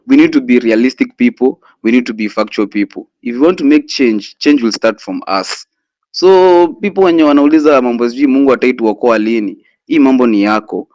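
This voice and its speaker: A man's voice: medium pitch, a little mellow, no room sound, dull audio. Young Kenyan man, passionate activist. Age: 20s. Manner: switches between English and Swahili, with many "you know" fillers and restarts. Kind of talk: impassioned public speech.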